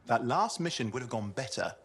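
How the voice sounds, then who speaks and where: A man playing an eager, cheeky young radio operator in a video game cutscene, talking in an excitable, chatty way. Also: mid-range voice, brisk, breathy edge. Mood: animated, pleading.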